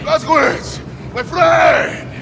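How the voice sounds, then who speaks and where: Hearty game comrade, drawn out high shouty voice, big echo. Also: male voice, steady tone, takes it slowly, crowd noise, exaggerated voice. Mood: jubilant. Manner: booming, jovial. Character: hearty, exuberant comrade loudly welcoming a friend. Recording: echoey hall, distant, noisy.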